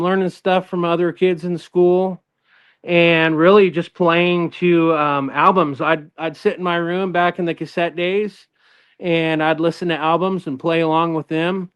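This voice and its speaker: A man's voice: high voice, little pitch movement, unhurried, clean tone. A middle-aged man from Northern California, a guest guitarist in a casual interview, easygoing and rambling with lots of fillers and restarts.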